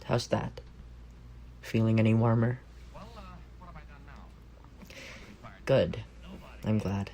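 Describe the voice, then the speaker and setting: Male actor as tender, playful roommate secretly in love, intimate ASMR roleplay; low register with plenty of fry. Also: fairly even intonation, slow, rough recording. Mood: playful teasing. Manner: playful, gently teasing, tender. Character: affectionate, teasing young roommate quietly in love.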